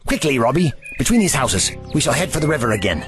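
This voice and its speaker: A man's voice: mid-range voice, sing-song, fast, a bit bright, faint music behind. A man playing a grave, erudite ancient time traveller in an audio drama, with formal, slightly pompous phrasing. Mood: urgent.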